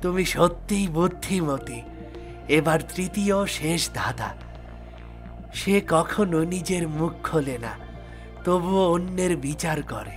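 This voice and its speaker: A man voicing a mysterious dwarf guardian in a fairy-tale cartoon, measured and deliberate. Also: on the high side, animated, faint music behind. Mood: testing. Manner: measured, deliberate riddle-posing. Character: mysterious male dwarf guarding a magic sword, testing riddler.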